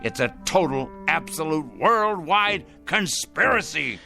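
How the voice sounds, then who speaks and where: An actor playing a fanatical, self-righteous crank in a radio drama, fussy and excitable, repeating himself emphatically. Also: male voice, a little high, unhurried, creaky, soft background music, full character voice. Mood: conspiratorial, indignant. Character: fanatical, self-righteous male crank obsessed with punishing evil.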